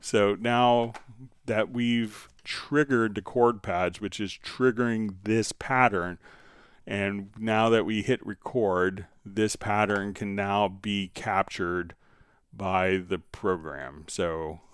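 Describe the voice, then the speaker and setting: A man hosting a casual, easygoing software tutorial, explaining steps and answering viewer questions. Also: lowish voice, steady tone, slow, clear, resonant tone, dry recording. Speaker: live stream host, software instructor.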